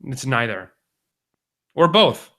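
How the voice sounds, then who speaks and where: A man's voice: medium pitch, big pitch swings, slow, sharp tone. A man co-hosting a podcast in casual, comedic banter. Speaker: podcast co-host.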